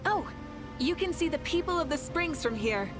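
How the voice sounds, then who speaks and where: A woman voicing a gentle, thoughtful young female companion in a video game. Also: sits high, lively intonation, moderate pace. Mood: friendly. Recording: game audio with music behind.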